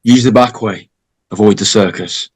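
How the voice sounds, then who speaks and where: A man playing a stern, weary middle-aged small-town sheriff in an amateur script read, gruff and measured. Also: on the low side.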